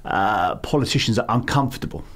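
A man's voice: fairly low pitch, animated, a little fast. A middle-aged man with a London accent, answering interview questions with many fillers and restarts. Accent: London English. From England. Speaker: campaign founder, guest.

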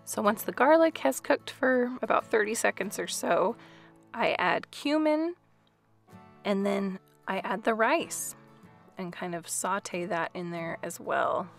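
A woman's voice: medium pitch, slow, creaky, faint music behind. A woman in her thirties chatting casually through a home cooking vlog voice-over, with light laughs. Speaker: family vlogger.

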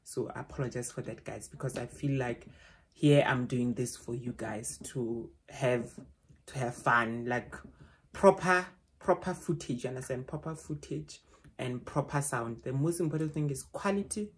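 A young South African woman with a loud voice, chatting casually to a phone camera at home as a vlogger, rambling with frequent restarts. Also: extremely low pitch, moderate pace.

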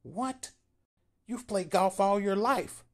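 A man voicing a short, timid old man who is angry and scolding, pushing his voice up high and strained. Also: big pitch swings, moderate pace.

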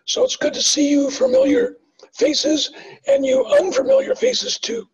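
Brief: older male professor, strongly raspy voice, high strained tone, distant muffled call audio. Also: very animated, unhurried. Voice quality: raspy voice from past vocal cancer. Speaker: biology professor. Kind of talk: panel self-introduction. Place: home room, video call. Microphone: webcam on video call.

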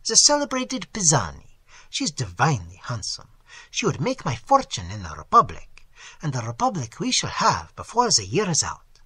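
A reader voicing a vain, self-important French artist man with a French accent, lecturing in a husky voice. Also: mid-range voice, wildly swooping pitch, moderate pace, sharp tone, no echo, heavily acted. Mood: boastful.

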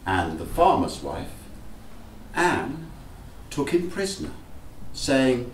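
A man's voice: medium pitch, big pitch swings, slow. Man reading theatrically in a roomy space. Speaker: actor giving a rehearsed reading. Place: small room with live audience. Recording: roomy, distant, noisy event recording.

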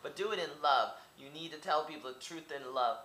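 Rambling young man, bright echoey webcam. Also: a little high, fairly quick, slight background noise. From Oregon, United States. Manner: rambling, asks many rhetorical questions, repeats words. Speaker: vlogger. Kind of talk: casual monologue to camera. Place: small indoor room. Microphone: webcam at close range.

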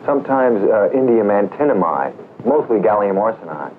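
An actor playing a deferential, earnest young doctoral student, polite and careful, in a 1960s film. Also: male voice, sing-song, normal speed. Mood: earnest, respectful. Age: young adult. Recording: muffled old film soundtrack, rumbling noise.

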